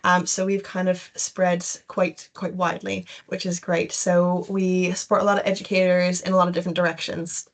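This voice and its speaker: A woman's voice: lowish voice, level tone, moderate pace, few pauses. A young adult woman with a Canadian accent, presenting an informal webinar in a chatty, loosely phrased way. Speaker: webinar host, education recruitment consultant.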